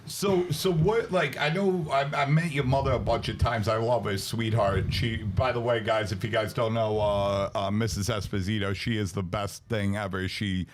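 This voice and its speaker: A man's voice: lowish voice, normal speed, hardly any pauses, a little hiss or hum. A man hosting a podcast in a casual, slangy way, wandering through informal questions in a conversational interview. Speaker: podcast host.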